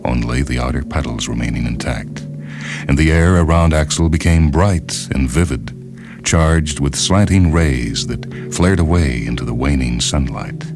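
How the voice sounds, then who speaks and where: A man reading a literary short story as narrator, in a measured, grave and dramatic manner. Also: very deep voice. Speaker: narrator and reader. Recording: music behind the narration. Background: orchestral music.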